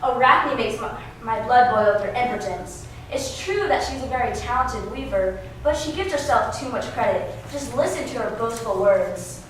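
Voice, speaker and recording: Woman doing a proud angry goddess onstage, kinda high voice, super echoey room. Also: lively intonation, normal speed, few pauses, lightly acted. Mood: indignant. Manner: haughty, indignant. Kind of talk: readers theatre stage performance. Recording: echoey room, distant mic, noisy.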